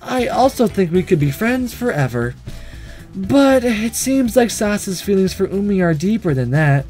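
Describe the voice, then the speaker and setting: A man's voice: sits high, big pitch swings, moderate pace, darkish tone, faint music behind. A man voicing a sleepy, detached schoolgirl who narrates a visual novel in a weary, blunt, matter-of-fact way. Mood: matter-of-fact, musing.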